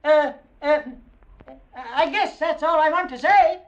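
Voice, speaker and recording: A woman playing a naive, kindly Martian who speaks hesitantly, in a dull old radio drama recording. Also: high voice. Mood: flustered, pleased. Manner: hesitant, halting.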